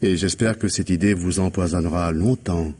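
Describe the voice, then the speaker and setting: An implacable police investigator in a vintage French radio mystery. The voice is low and husky, and he speaks with deliberate, articulate authority, giving orders like someone who will not be moved. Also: dark tone. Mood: coldly triumphant. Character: stern, implacable male police investigator confronting a suspect.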